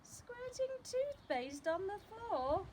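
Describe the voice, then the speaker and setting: Female storytime teacher: high, unhurried, expressive read-aloud for young children, noisy background. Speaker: primary school teacher reading stories.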